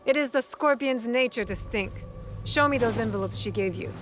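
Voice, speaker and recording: Woman acting a high-voiced brash young fighter. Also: moderate pace, a little hiss or hum, lightly acted. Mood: mocking. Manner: mocking, playful. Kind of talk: video game cutscene acting.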